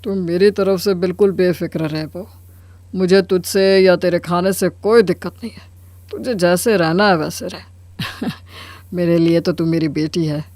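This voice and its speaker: A man's voice: high-pitched, fairly even intonation, unhurried, darkish tone, dry recording. Man acting a kindly, easygoing Indian father-in-law. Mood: affectionate, cheerful. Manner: soothing, reasoning, chuckling. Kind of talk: Hindi cartoon moral story acting.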